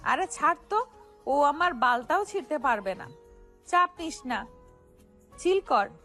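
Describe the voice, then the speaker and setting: A very high young female voice acted by a woman plays a cocky pickpocket boss. She is sassy and defiant, arguing in street slang. It is audio story drama with soft music underneath. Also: sing-song, a little slow, heavily acted. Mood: cocky, boastful. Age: young adult.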